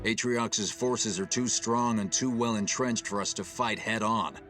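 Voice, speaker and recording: An actor playing a grave, steady, authoritative starship captain in a military video game. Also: male voice, on the low side, over music, natural voice. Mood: grave resolve. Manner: measured, authoritative briefing.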